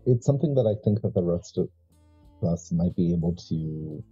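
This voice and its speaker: A very dark, low-voiced heir to an occult family, played by a man at a game table, who weighs each phrase with halting deliberation. He is proud and coldly calculating. Music sits behind the muffled sound. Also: lively intonation, breathy edge. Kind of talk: improvised tabletop horror roleplay.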